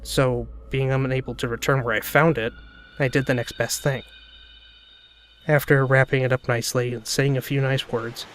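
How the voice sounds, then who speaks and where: A man's voice: mid-range voice, flat delivery, normal speed, slight background noise. A man giving a dramatic narrated reading of a spooky first-person story. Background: background music.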